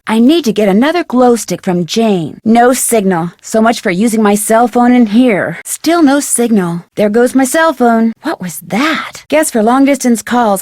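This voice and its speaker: A woman voicing a curious, plucky young female amateur detective in a video game, thinking aloud in an inquisitive, expressive way. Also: fairly high pitch, dry recording, studio quality, natural voice. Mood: matter-of-fact, then wary. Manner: inquisitive, musing to herself, crisply expressive.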